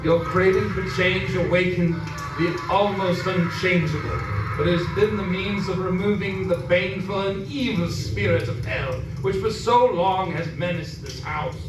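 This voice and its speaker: A man's voice: high voice, moderate pace, lightly acted. An actor playing a solemn, pious man who denounces evil in grave, declamatory old-time radio horror style. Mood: solemn relief. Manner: grave, declamatory, archaic diction. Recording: echoey stage, distant mic, music behind.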